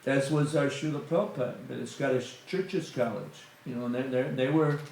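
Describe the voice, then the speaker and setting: A man's voice: mid-range voice, moderate pace, gravelly, darkish tone, a little hiss or hum. A middle-aged man giving a conversational spiritual talk at a temple gathering, full of "you know" asides. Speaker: guest speaker at a temple satsang. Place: temple hall. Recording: very echoey hall.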